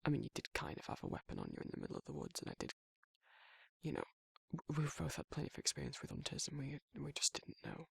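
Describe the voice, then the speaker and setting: Gravelly, bashful British werewolf played by a man. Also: a little high, wildly swooping pitch, a bit bright, no echo, heavily acted. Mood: apologetic, protective. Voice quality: gravelly, husky, breathy. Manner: flustered, halting, stammering, trailing off. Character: protective, awkward, bashful male werewolf confessing affection. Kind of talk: intimate ASMR romantic roleplay.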